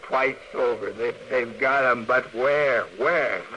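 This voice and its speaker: A man's voice: mid-range voice, slow pace, bright, forceful tone, highs cut off. An actor playing a bitter, bullheaded frontier man with an American accent and a contemptuous manner in a 1950s radio western. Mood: desperate. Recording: noisy vintage radio recording.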